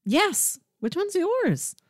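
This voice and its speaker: A woman co-hosting a casual pop-culture chat, attentive and quick with short responses and teasing asides. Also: extremely high pitch, very animated, dry recording, clean studio sound. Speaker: podcast co-host.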